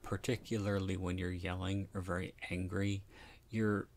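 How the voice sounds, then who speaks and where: A man from Philadelphia with a South Philly accent, giving a casual, rambling and halting film commentary full of candid asides. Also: sits low, flat delivery, darkish tone, slight background noise. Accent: South Philadelphia American. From Philadelphia, United States. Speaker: podcast host.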